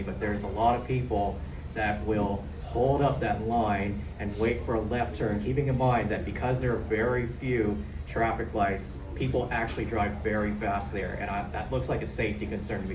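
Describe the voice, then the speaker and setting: Hesitant low-voiced man asking a question. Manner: hesitant, full of um and like. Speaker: audience member. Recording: roomy, distant, noisy hall.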